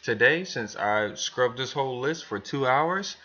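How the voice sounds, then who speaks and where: A man giving a casual, rambling software tutorial for new hires, thinking aloud as he talks through each step. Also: medium pitch, lively intonation, a little slow, highs cut off. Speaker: business trainer demonstrating software to new hires. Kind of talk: casual screen tutorial, talking through steps.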